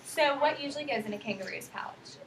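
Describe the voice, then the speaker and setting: A woman's voice: high-pitched, a little fast, a crowd in the background. A woman reading a picture book aloud to young children, asking simple questions and prompting and affirming their answers. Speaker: student teacher reading aloud to kindergarten children. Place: echoey indoor room with children. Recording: roomy, noisy, distant microphone.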